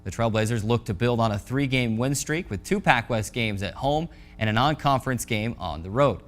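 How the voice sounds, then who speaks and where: A man's voice: medium pitch, animated, moderate pace, a bit bright, soft background music. An American man hosting a sports show, reading a scripted recap of scores in a polished announcer delivery. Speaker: sports show host and play-by-play voice.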